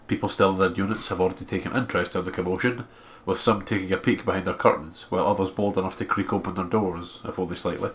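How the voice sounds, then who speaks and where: A low-voiced man reads story narration from a visual novel with expression, slipping into casual asides. There is faint noise and ambience behind him. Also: a bit bright. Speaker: let's player reading a visual novel aloud.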